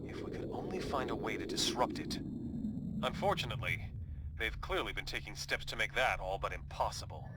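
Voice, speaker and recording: Actor as a grave strategist hero, noisy backdrop. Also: male voice, lowish voice, lightly acted. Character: grave male superhero strategist delivering a mission briefing. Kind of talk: scripted video game mission briefing.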